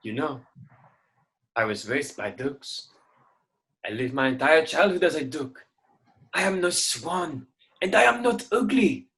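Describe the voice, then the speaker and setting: A man's voice: a little high, animated, a little slow, long pauses, fairly bright tone. A man voicing a proud, grumbling ugly duckling in an irreverent radio play. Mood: defiant, proud. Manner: grumbling, boastful, peppers speech with French. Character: proud, indignant ugly duckling who refuses to be swan.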